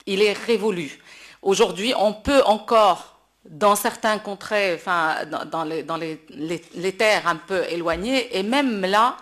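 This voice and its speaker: A woman's voice: mid-range voice, a little slow, a bit bright. Woman from morocco, talking unscripted at a public debate, emphatic, repeats words while hunting for the right phrase. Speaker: classical opera singer speaking at a panel. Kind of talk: impromptu public speech at a debate.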